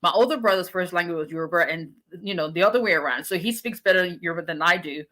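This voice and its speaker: A woman talks casually on a livestream, greeting viewers and urging them to keep faith. Her voice is bright and forceful, with a preacher's lift when she encourages. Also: lowish voice, fast. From Nigeria. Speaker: immigration attorney.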